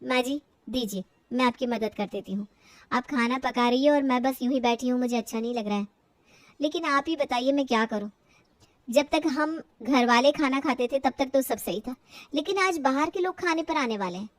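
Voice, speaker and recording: A dutiful young daughter-in-law voiced by a woman in a family audio story. Her voice is high and dry, with an earnest, polite, coaxing manner. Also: moderate pace, no room sound, lightly acted. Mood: earnest, worried.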